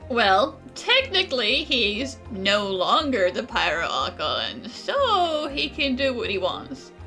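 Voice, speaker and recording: A woman's voice: on the high side, huge pitch swings, slow pace, over music. A woman playing through a game, reacting and reading dialogue in a wry, informal, casual way. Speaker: gamer playing a story quest.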